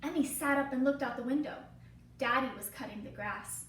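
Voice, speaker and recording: A woman teacher reading a children's storybook aloud with expressive, dramatic, deliberate phrasing. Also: high-pitched. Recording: roomy, distant mic, faint noise.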